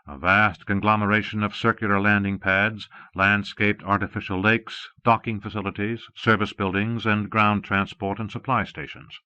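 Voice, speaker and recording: Casting: male audiobook narrator, lowish pitch, measured formal read, dry lo-fi cassette sound. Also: pushed and bright, dry recording. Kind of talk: scripted narration of a science fiction novel.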